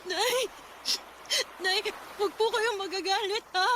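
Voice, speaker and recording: A woman's voice: very high-pitched, measured pace, pushed and bright, full character voice. A woman playing a young pregnant daughter in a radio drama, pleading and apologizing to her mother. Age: young adult. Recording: noisy background.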